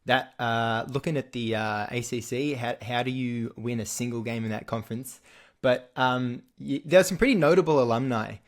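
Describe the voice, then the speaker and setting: A young man with an Australian accent hosts a laid-back sports chat. He talks easily and casually, full of ums and restarts, at an ordinary pitch for a man. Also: moderate pace. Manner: relaxed, chatty, with frequent fillers and restarts. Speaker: podcast host.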